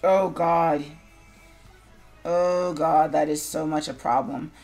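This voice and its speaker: A woman's voice: low voice, fairly even intonation, slow pace, a little mellow, faint music behind. An American woman streaming casual, opinionated chat in a sarcastic, blunt manner. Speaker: streamer, show host.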